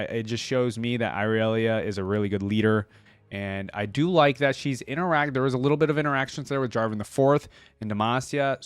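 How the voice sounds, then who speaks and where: A man hosting a reaction video, giving casual, rambling gaming commentary as he thinks out loud. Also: mid-range voice, smooth, no room sound.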